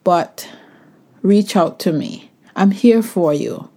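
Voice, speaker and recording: A woman's voice: lowish voice, expressive, slow pace, dark tone. A middle-aged woman hosting a podcast, reading a reassuring promo and repeating her point to make sure it lands. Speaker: podcast host. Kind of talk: promo read for her coaching calls.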